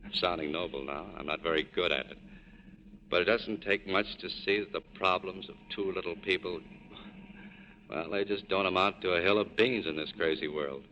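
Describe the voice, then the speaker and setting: A resolute, world-weary tough man speaks in a low voice. It is 1940s radio drama, heard through clear noise on an old broadcast recording. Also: moderate pace, bright, forceful tone, dull audio. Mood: firm, wistful.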